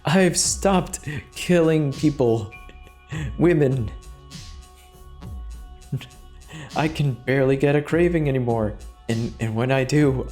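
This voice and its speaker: A man voicing a small, meek recovering killer who confesses in a sheepish, halting, emotional way in a horror story. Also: mid-range voice, darkish tone, close to an everyday voice. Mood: earnest, grateful. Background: music.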